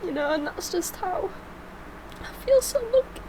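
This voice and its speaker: A woman's voice: very high voice, expressive, moderate pace, warm tone, a little hiss or hum, rough recording. A woman talking casually and personally as a reaction vlogger, heartfelt and rambling, emotional. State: emotional, tearful.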